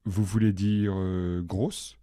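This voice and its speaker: A man reading the questioning part of a scripted dialogue for language learners, measured and clear, each line said twice. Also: low voice, flat delivery, mellow, no echo, studio quality. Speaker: language-lesson dialogue reader.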